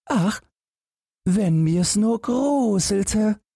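Simple fairytale boy, super high husky voice, guileless and kinda wistful. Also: expressive, drawn-out words, dark tone, no echo, studio quality, heavily acted. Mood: naive, earnest. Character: naive, simple young lad longing to shudder.

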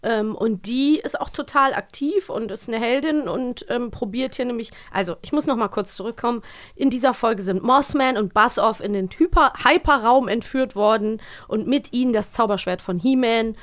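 A woman's voice: a little high, moderate pace. A woman co-hosting a podcast, chatting casually and with a giggly manner about audio dramas. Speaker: podcast co-host.

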